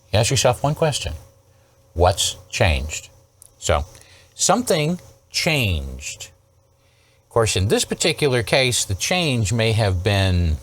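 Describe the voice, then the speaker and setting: Animated man explaining a hands-on repair. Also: medium pitch. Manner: conversational, emphatic, rhetorical questions and repeated check-ins. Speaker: host of an electronics repair series. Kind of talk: casual hands-on troubleshooting demonstration.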